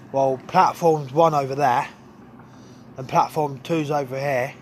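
A man's voice: medium pitch, a little mellow, slight background noise. A young man making a rambling casual vlog, filmed on a phone at arm's length on an outdoor railway platform. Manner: rambling, filler-heavy, mumbling. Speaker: vlogger. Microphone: phone held at arm's length.

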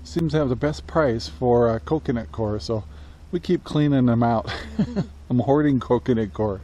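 A man's voice: mid-range voice, moderate pace, dark tone. A man in his sixties casually talking to a handheld camera in his yard and greenhouse, rambling with lots of fillers. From United States. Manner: easygoing, rambling with many "uh" fillers. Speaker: homesteading vlogger. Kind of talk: casual how-to vlog. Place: outdoors in a yard and inside a greenhouse. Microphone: camera held at arm's length.

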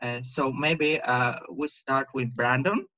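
Slow, halting man hosting a call. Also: mid-range voice, sharp tone, muffled recording. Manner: halting, many pauses and fillers. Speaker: meeting host. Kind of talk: video call meeting.

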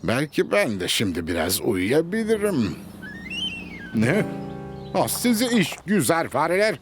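A man voicing an exasperated farmer in a children's cartoon, fussy and given to grumbling and exclaiming. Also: high voice. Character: exasperated farmer pestered by birds and mice.

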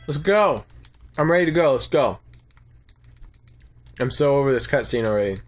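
A man's voice: medium pitch, lively intonation, moderate pace. A man chatting casually with a friend as he plays a video game, slangy and sweary, with frequent "like". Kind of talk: casual let's-play chat with a friend. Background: game music.

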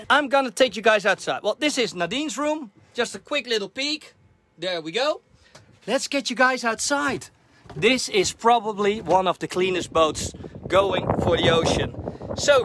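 A man in his thirties with a Dutch accent, giving an excited tour of his sailboat while filming himself. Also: sits high, background noise. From Netherlands. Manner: enthusiastic, chatty, addresses viewers as guys. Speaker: sailing vlogger. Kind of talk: casual vlog boat tour. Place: on a sailboat in a harbor, cabin and deck. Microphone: camera at arm's length.

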